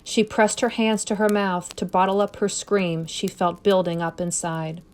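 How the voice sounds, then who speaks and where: A woman reading a scary story aloud as narrator, expressive and suspenseful, with deliberate phrasing. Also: mid-range voice. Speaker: story reader.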